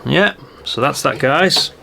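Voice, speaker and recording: A man's voice: a little high, expressive, slow pace, vocal fry, background noise. An older man chatting in a rambling way, thinking aloud through a casual hands-on product review. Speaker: radio hobbyist reviewer.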